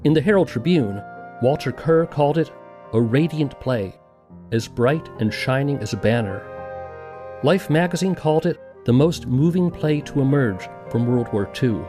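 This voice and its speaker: A man's voice: medium pitch, animated, moderate pace, over music. A man hosting and narrating a documentary podcast from a script.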